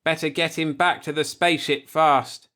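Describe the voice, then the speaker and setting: A man's voice: a little high, steady tone, normal speed, sharp tone, voice pushed up. Man voicing a grave, tipsy spaceship quartermaster. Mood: grim, diagnosing. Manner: grave, expository. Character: grimly deliberate, tipsy male spaceship quartermaster.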